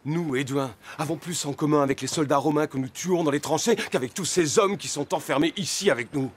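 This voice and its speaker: An actor playing a battle-scarred, defiant young Gaulish warrior and son, blunt and intense in an animated historical drama. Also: male voice, fairly high pitch, brisk, slightly rough. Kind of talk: animated historical docudrama acting. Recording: noisy ambience behind.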